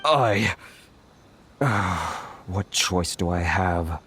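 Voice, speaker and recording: Jittery outlander merchant, hesitant, swinging in pitch. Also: male voice, lively intonation, extremely slow, a bit bright, a little hiss or hum, heavily acted. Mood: defeated. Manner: hesitant, flustered. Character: nervous middle-aged male merchant. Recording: game audio with faint music behind.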